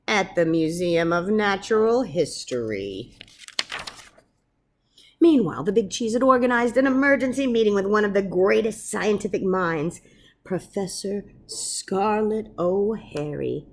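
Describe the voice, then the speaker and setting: A woman reading a children's story aloud as narrator, dramatic and expressive. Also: medium pitch, slow pace. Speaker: storybook reader. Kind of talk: animated read-aloud narration.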